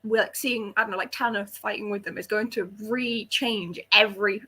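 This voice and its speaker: A woman's voice: mid-range voice, fairly even intonation, moderate pace, pushed and bright. A woman chatting casually about books in a blunt, jokey, sweary way. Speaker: readalong panelist. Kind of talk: casual book discussion, banter.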